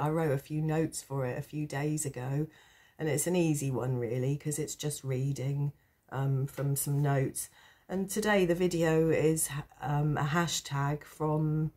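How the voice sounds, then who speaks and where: A woman with a low, mellow voice roughened by a cold and sore throat. She chats casually as a vlog host, taking her time and pausing often. Her manner is reflective, hesitant and gently self-deprecating. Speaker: tarot channel host. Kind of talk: casual rambling chat to camera.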